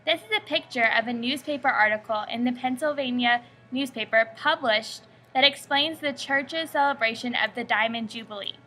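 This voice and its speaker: A young woman reading her school family-history presentation in a steady, scripted recitation. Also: a little high, moderate pace, few pauses. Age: young adult. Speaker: student presenter.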